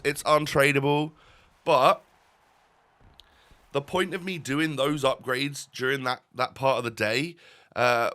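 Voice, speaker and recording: A young man talks over his gameplay in a loose, rambling way, full of ums and restarts. His voice is bright and nasal from a stuffy nose, with a congested edge. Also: a little high. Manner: rambling, many fillers and restarts. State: stuffy, bunged up. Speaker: gaming YouTuber. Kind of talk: casual gameplay commentary.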